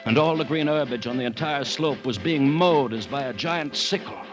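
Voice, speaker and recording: An actor playing a cautious, alarmed government commissioner, narrating a jungle ordeal in formal, suspenseful old-time radio drama style. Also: male voice, medium pitch, moderate pace, dull audio, close to an everyday voice. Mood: grave dread. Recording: vintage radio recording with background music.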